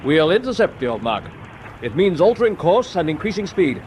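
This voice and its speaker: An actor playing a decisive British destroyer captain in a wartime radio drama, giving clipped, measured orders with a British accent. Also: male voice, fairly high pitch, sing-song, a little mellow, slight background noise. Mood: brisk, composed.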